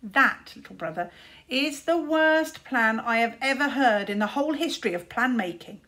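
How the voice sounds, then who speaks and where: A woman's voice: fairly high pitch, lively intonation, moderate pace, bright, forceful tone. A woman voicing a scornful older-brother boy wizard in a storybook, with sneering, drawling emphasis. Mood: contemptuous.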